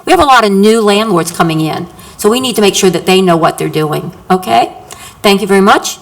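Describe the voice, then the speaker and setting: A woman's voice: mid-range voice, lively intonation, brisk, creaky. A woman landlord giving an earnest, emphatic public comment at a city council work session, repeating her points. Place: council chamber.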